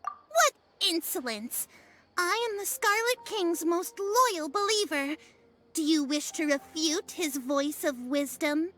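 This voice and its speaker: A woman's voice: extremely high pitch, animated, slow, fairly bright tone, full character voice. A woman voicing a fortune-teller who speaks for the gods in a theatrical, pompous way in a game cutscene. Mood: haughty, stern.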